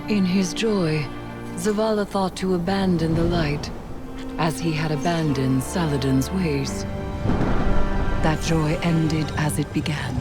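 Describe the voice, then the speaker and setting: An actress playing a solemn, mysterious woman who confides a sorrowful past, grave and hushed, in scripted game narration. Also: deep voice. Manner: grave, hushed, deliberate storytelling.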